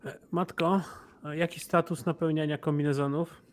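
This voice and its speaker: A man's voice: on the high side, steady tone, normal speed. A man playing a tabletop role-playing game, speaking casually in character.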